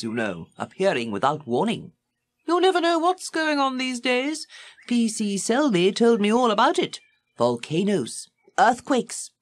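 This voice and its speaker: A woman voicing a fussy, anxious, eccentric older village lady in a children's audiobook, emphatic and alarmed in manner. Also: a little high. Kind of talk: children's audiobook character reading.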